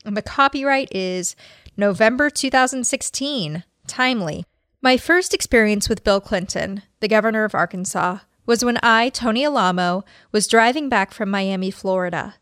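Female podcast co-host and reader. Medium-pitched voice, very little room sound. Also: normal speed, fairly bright tone, no room sound, studio quality. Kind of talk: podcast talk and reading aloud.

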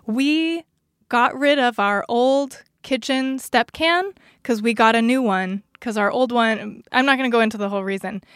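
A woman's voice: fairly high pitch, moderate pace, fairly bright tone, clean tone, dry recording, clean studio sound. A woman co-hosting a podcast, telling a story in casual chat with lots of fillers and restarts. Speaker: podcast co-host. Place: Los Angeles area home studio.

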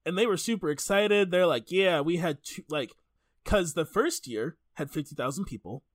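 Guy podcast host, chatty and jokey, kinda high voice that bounces around a lot. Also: lively intonation, moderate pace, dry recording, studio quality. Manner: informal, jokey, sweary. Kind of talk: casual chatty podcast storytelling.

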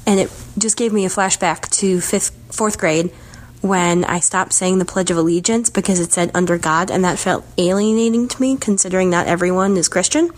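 A woman's voice: lowish voice, steady tone, normal speed. A woman giving a reflective, plainspoken personal recollection as a listener of a show. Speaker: podcast listener leaving a recorded message.

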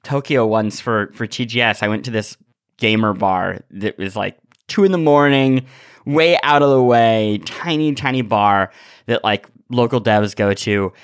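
A man's voice: fairly low pitch, unhurried, smooth, no room sound, clean studio sound. A man co-hosting a podcast in casual comedic chat, telling stories animatedly and acting out people's reactions. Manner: animated storytelling, acting out reactions in quoted lines. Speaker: podcast co-host.

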